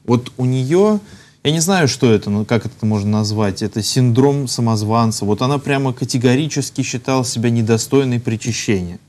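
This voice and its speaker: A man in his thirties hosting a studio talk show, asking measured questions with some hesitation. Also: mid-range voice, a little mellow. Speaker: show host, priest. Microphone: lapel.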